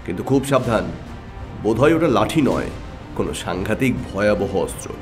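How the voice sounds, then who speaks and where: Man playing calm, shrewd detective, lively intonation. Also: fairly low pitch, over music, pitched below the performer's own voice. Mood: calm, confident. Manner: composed, deliberate. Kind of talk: audio detective drama acting.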